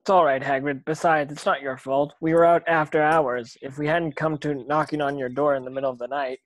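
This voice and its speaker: A man's voice: fairly high pitch, fairly even intonation, a little fast, no room sound, close to an everyday voice. A man playing an earnest, brave schoolboy wizard in an amateur screenplay table read. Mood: reassuring.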